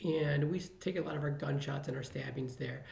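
Middle-aged male ER doctor from the Chicago area, earnest and motivational, heavy vocal fry, echoey room. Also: fairly high pitch, a little fast, a little mellow. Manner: earnest, motivational, repeats phrases for emphasis. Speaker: emergency physician guest. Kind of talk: podcast interview answers.

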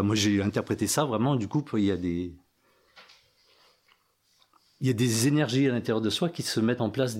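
A man's voice: fairly low pitch, a little mellow, slightly rough. A man sharing reflections in a group discussion, talking hesitantly with many restarts and fillers. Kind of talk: casual reflective talk.

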